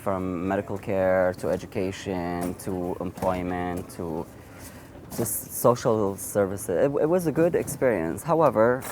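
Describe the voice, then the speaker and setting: A man in his thirties from Iraq, an Assyrian speaker, telling his own story in an interview in a halting way, with frequent restarts. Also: sits low, a little mellow, a little hiss or hum. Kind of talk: personal storytelling.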